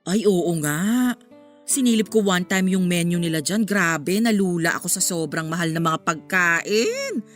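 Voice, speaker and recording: A woman's voice: on the low side, big pitch swings, a little slow. A woman playing a nosy, playfully blunt young friend who teases and gossips in a radio drama. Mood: blunt, impressed. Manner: gossipy, exclamatory, teasing. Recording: music under the voice.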